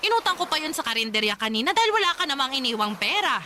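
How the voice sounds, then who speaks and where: A woman's voice: high-pitched, big pitch swings, racing, sharp tone, slight background noise, exaggerated voice. A woman voicing a stern grandmother who scolds her grieving, drinking son, reproachful in a radio drama. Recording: music bed underneath.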